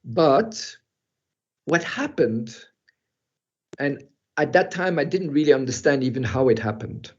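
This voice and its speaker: An older man who grew up in Europe, speaking as a guest in an online talk and telling a personal story in a halting, reflective way with soft laughs. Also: mid-range voice, animated. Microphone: video call. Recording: muffled video call audio.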